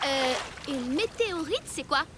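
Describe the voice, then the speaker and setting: A woman's voice: high voice, animated, measured pace, very noisy. A woman voicing a small, outspoken child in a cartoon, with a plaintive, cheeky delivery. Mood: questioning. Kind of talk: French animated cartoon acting. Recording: loud music behind the dialogue.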